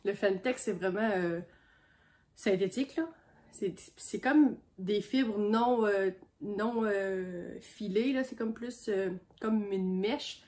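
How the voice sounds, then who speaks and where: Québécois woman vlogger, has a cold, stuffy and unhurried, rambling show-and-tell. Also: mid-range voice, a little mellow. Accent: Québécois French. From Quebec. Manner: chatty, meandering, homespun. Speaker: knitting vlogger on a sheep farm. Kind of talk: casual show-and-tell chat.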